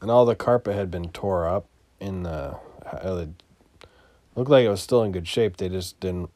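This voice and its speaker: A man giving a casual, unscripted property walkthrough as a landlord, in a matter-of-fact, halting way that trails off. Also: sits low, mellow, no echo. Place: inside an empty mobile home, starting on the porch.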